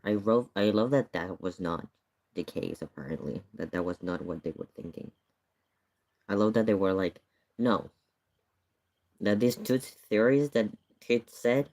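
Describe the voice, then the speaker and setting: A man streaming live, chatting casually and thinking aloud as he reacts to a video. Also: fairly low pitch.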